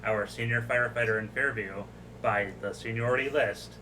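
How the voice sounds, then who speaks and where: A man's voice: mid-range voice, steady tone, moderate pace, bright, forceful tone, far from the mic, slight background noise, rough recording. A man, a firefighter, co-hosting a podcast and chatting casually about his work. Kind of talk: casual chat.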